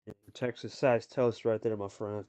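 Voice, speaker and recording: A man's voice: fairly low pitch, fairly even intonation, normal speed, warm tone, clean tone, muffled recording. A man giving live sports-style commentary on a card game as host, talking colloquially and addressing players like buddies.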